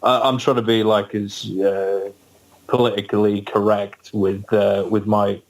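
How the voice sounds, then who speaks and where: A man's voice: lowish voice, little pitch movement, unhurried, rough recording. A man, a band's guest on a podcast, telling a story hesitantly with many ums and frequent laughs. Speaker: guest musician in a band. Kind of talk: casual podcast interview storytelling.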